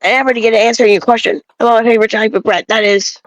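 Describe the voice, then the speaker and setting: A woman with a distinctly high voice reads scripted character dialogue aloud. She delivers it as an amateur dramatic reading, like a monologue addressed to one listener. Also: fairly even intonation, fast talker, no room sound, highs cut off.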